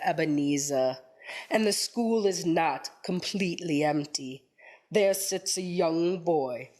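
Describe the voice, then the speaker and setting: A woman playing a solemn, knowing ghostly guide in a radio play, speaking formally and gravely. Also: sits low, a little mellow. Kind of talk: theatrical community radio play acting.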